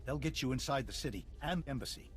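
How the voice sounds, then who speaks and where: A male actor plays the leader of a besieged planet in a video game. He speaks formally and earnestly, with animated, mid-pitched delivery. Faint music sits behind him. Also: fast talker, close to an everyday voice. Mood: earnest, explanatory.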